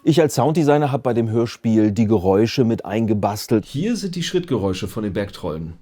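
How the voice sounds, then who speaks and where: A man's voice: lowish voice, big pitch swings, a little fast, mellow, no echo. A man, explaining his work as a sound designer in a casual interview. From Germany. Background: music.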